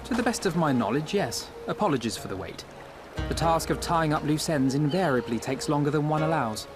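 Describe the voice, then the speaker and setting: An actor playing an erudite, courteous gentleman in a video game, with formal, precise phrasing. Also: on the high side, animated, brisk, music in the background, natural voice. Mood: polite, dry. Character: courteous, erudite, wordy young gentleman scholar. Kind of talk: dramatic video game cutscene acting.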